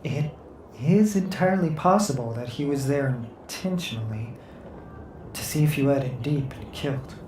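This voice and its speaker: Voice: medium pitch, moderate pace, a little mellow, a little hiss or hum, lightly acted. An actor playing a serious, loyal investigating agent in a sci-fi audio drama, formal and precise. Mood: sober. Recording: echoey, faint music behind.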